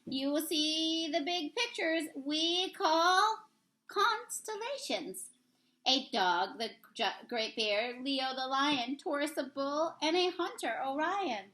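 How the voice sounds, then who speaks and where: Bright, high-voiced woman reading to kids. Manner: sing-song, expressive storybook reading. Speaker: teacher reading a picture book aloud. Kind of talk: children's read-aloud.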